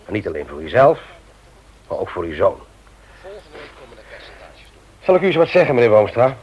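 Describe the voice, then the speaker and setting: An actor playing a stern, moralizing man who lectures emphatically in a radio play. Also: low-pitched. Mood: stern, indignant. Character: stern, moralizing man confronting a petty thief. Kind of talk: Dutch radio play acting. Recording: muffled vintage radio recording.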